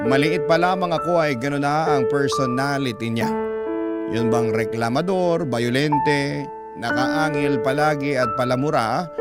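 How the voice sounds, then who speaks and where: A man playing a grown child recounting sad family memories, in a measured, reflective radio narration with a Filipino accent. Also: medium pitch, lightly acted. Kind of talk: dramatic letter narration. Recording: prominent keyboard music behind.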